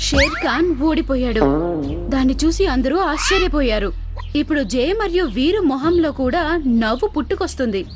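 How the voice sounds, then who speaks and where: High-pitched, lively woman storyteller. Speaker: narrator. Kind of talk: scripted children's story narration.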